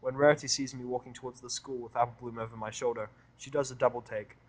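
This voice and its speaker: A man narrating a first-person fan fiction audio drama in a wry, world-weary, expressive way. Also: mid-range voice, quick pace.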